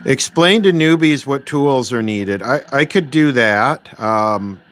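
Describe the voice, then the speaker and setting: A man hosting a casual livestream about electronics repair, thinking aloud in a halting, rambling way with dry, wry remarks. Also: medium pitch. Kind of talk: casual unscripted livestream chat, reading and answering viewer requests. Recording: clear steady background noise.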